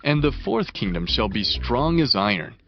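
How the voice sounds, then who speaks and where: An actor playing a devout, solemn Hebrew prophet in a dramatized scripture audiobook, speaking in reverent, emphatic oratory. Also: male voice, medium pitch, sing-song, normal speed. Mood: grave, proclaiming. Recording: dull band-limited audio under prominent music. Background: music.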